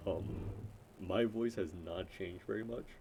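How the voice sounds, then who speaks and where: A young man with a deep voice chatting casually and unscripted, rambling and often hesitantly cutting himself off. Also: measured pace, very noisy. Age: young adult. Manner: rambling, hesitant, self-interrupting. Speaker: gaming vlogger introducing himself.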